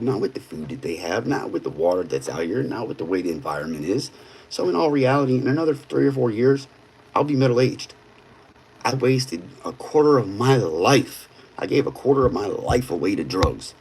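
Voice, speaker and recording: A man's voice: mid-range voice, darkish tone, slight background noise, rough recording. A man in his thirties talking bluntly in casual streetwise slang on a livestream. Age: 30s. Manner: blunt, streetwise, peppered with "bro".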